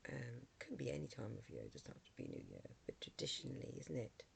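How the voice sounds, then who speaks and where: A middle-aged vlogger with a hoarse voice from a cold, talking casually to camera with many ums and restarts. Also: mid-range voice, huge pitch swings, fast talker, slight background noise.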